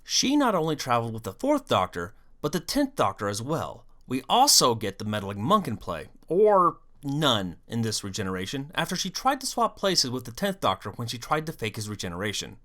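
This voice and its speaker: A video host delivering scripted fan commentary in an animated, storytelling way with wry asides. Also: medium pitch, a little fast, few pauses. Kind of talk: scripted fan commentary on an audio drama series.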